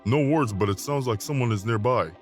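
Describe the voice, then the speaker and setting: Man playing an urgent, forceful Marine rifleman. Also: mid-range voice, brisk, faint music behind, natural voice. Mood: alert. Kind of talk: science fiction audio drama acting.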